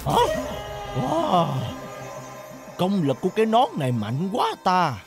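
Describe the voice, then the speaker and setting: A man's voice: high voice, huge pitch swings, slow pace, full character voice. A man voicing an imperious, scornful old villain boss in a cartoon dub, with a theatrical, haughty delivery. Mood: intrigued. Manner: haughty, commanding. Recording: loud music under the voice.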